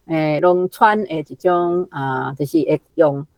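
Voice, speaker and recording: 一位女性來賓以台語口音閒聊賞析小說寫法，句尾常帶「吼」。. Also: female voice, low voice, takes it slowly, darkish tone, dry recording. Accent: Taiwanese Hokkien. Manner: chatty, frequent 吼 tags. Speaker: literary commentator. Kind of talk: casual commentary.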